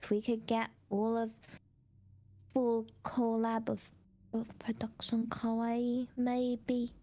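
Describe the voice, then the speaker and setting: Woman, high voice, slow-paced, playful casual chat, giggly storyteller. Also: completely flat delivery, warm tone. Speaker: streamer, VTuber.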